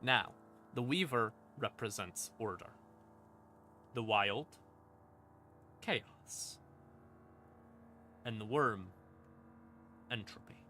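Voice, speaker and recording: A man hosting a stream and explaining game lore in a casual monologue, with theatrical, deliberate pauses between short phrases. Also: lowish voice, bright, forceful tone, a little hiss or hum. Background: ambient music in the background.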